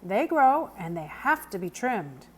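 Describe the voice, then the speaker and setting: A woman voicing a gruff but kindly old English countryman who works as a stableman in a children's storybook. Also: mid-range voice, very animated, a little slow, slight background noise. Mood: matter-of-fact. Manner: plainspoken, rustic turns of phrase. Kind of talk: children's novel read aloud, character voice.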